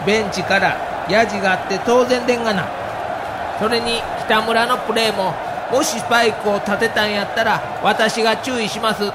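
An indignant older baseball manager, acted by a man, argues his case in blunt Kansai dialect. The voice is high and emphatic, combative in the manner of an audio drama, with clear noise behind it. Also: natural voice. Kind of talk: dramatized documentary dialogue.

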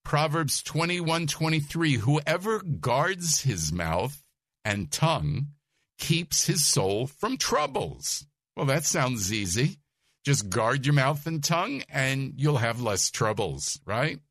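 Male Bible teacher, soft voice, unhurried delivery, dry recording. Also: mid-range voice, big pitch swings, dry recording. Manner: numbered points, chuckles, asks listeners questions.

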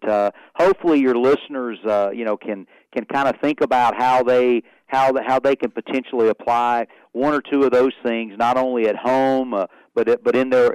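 A man's voice: medium pitch, darkish tone, clear, resonant tone, no room sound, highs cut off. A man in his forties with a Southern accent from Oklahoma, a guest on a radio show, talking in a folksy way and often repeating words. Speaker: guest, athletics director. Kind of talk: radio interview.

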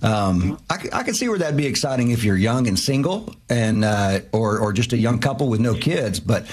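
Enthusiastic husky male radio host. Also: medium pitch, animated, normal speed, a little mellow. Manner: enthusiastic, repeats himself, stumbles. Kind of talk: radio interview.

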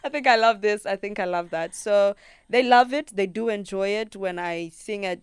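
Smooth-voiced Kenyan woman, animated. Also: medium pitch, moderate pace, no echo. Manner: animated storyteller who acts out her own thoughts in quotes. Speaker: guest singer-songwriter. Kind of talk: casual interview chat.

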